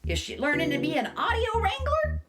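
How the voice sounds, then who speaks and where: A woman playing an excitable, bossy, fretful space chief in a comic children's radio drama. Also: extremely high pitch, fairly quick, exaggerated voice. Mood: impatient, concerned. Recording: music behind the voice.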